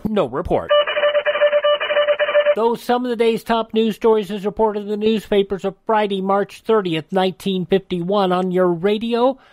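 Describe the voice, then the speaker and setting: Higher-pitched male radio newsreader. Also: a little mellow. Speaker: radio host reading news.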